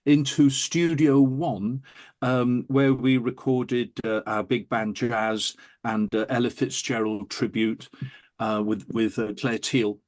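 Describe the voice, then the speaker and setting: A man's voice: mid-range voice, steady tone, takes it slowly, darkish tone. A man talking enthusiastically and telling anecdotes as an interview guest. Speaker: interview guest, audiophile recording producer. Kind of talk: animated anecdotal interview talk.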